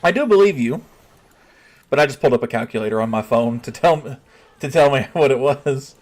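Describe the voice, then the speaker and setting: A man talks loosely to his live stream audience, answering chat as he goes. He thinks out loud and often stops to rephrase before he finishes a thought. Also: mid-range voice, expressive, fairly quick. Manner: chatty, reads chat aloud, often restarts and thinks out loud. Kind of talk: casual livestream chat.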